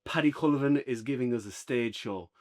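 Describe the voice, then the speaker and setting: Irish man chatting casually, mid-pitched voice. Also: brisk. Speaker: podcast co-host reviewer. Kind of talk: casual unscripted chat.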